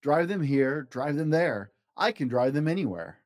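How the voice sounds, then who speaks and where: A man's voice: fairly high pitch, expressive, normal speed, darkish tone, natural voice. A man voicing a cheerful, careful storybook toad who loves driving, in a rhythmic sing-song. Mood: carefree. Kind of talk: children's storybook read-aloud.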